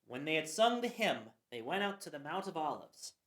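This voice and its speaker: A woman's voice: deep voice, sing-song, normal speed, a bit bright. A woman narrating scripture for a church passion play reading. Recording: roomy echo.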